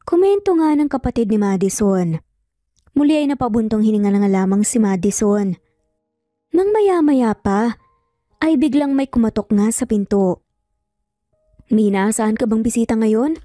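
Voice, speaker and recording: A woman's voice: fairly high pitch, big pitch swings, moderate pace, long pauses, dark tone, dry recording, studio quality. A woman narrating a scripted dramatic story as storyteller, with music behind her. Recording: music bed under the voice.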